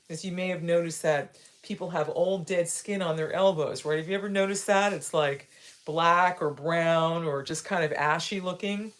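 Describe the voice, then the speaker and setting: A sixty-year-old woman hosting a live video, talking casually as she demonstrates, with frequent tag questions and repeated phrases. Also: fairly low pitch. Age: 60s. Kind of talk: casual instructional talk.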